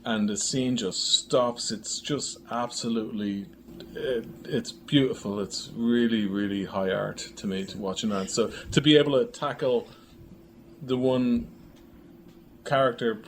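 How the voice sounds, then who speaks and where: Male writer-director interviewee, medium pitch, keen but halting conversational delivery, light background ambience. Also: steady tone. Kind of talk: casual interview discussion. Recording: faintly noisy room ambience.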